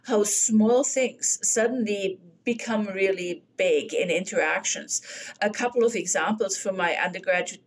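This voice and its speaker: A woman's voice: mid-range voice, breathy edge. Woman in her fifties. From Bavaria, Germany. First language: German. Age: 50s. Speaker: guest speaker, academic dean. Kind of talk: reflective personal account in conversational talk.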